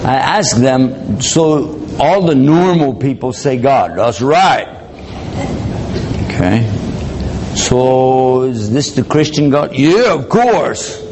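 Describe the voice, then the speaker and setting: Male lecturer, mid-pitched, casual and joking, acts out exchanges, recorded live in a hall with clear background noise. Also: lively intonation, slow. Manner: conversational, joking, acts out dialogue. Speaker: Islamic lecturer. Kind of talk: public lecture.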